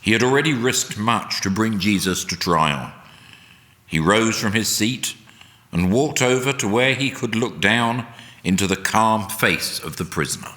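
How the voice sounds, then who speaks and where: A man reading a solemn, dramatic narration in a deliberate, emphatic oratorical way. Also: on the low side, expressive, sharp tone. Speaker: reader at a church service. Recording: long hall reverb.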